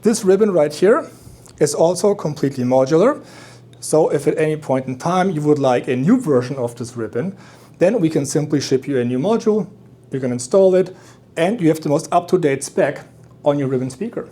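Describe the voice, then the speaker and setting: Mellow man presenting a product. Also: on the high side, lively intonation, fairly quick. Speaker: loudspeaker company representative giving a product demonstration. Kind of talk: explanatory product presentation at an audio show.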